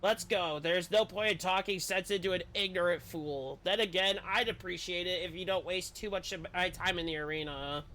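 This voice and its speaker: A man's voice: high voice, normal speed, hardly any pauses, bright, forceful tone. A man voicing a haughty, spiteful noble's servant from a fantasy game, speaking with pompous scorn. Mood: dismissive.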